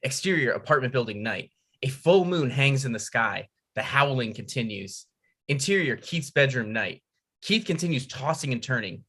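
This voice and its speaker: Guy reading screenplay stage directions, mid pitch, bright clear-cut voice, table read. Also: expressive, moderate pace. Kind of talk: scripted narration at a table read.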